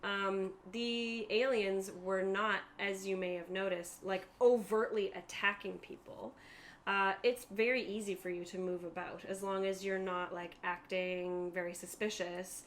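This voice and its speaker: A woman's voice: mid-range voice, steady tone, a little slow, a little hiss or hum. A woman narrating a tabletop roleplaying game as game master, explaining things in a conversational way.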